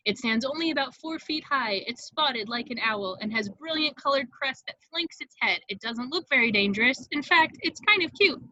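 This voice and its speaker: A woman's voice: sits high, expressive, normal speed, few pauses, bright, forceful tone, no room sound, highs cut off. A woman reading scripted stage directions as the narrator of a table read, in a vivid storytelling manner.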